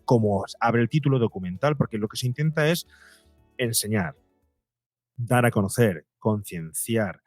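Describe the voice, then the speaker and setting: A man's voice: mid-range voice, animated, moderate pace, dry recording, faint music behind. Man explaining, close dry sound. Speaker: podcast guest, psychologist and documentary creator. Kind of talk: conversational interview answers.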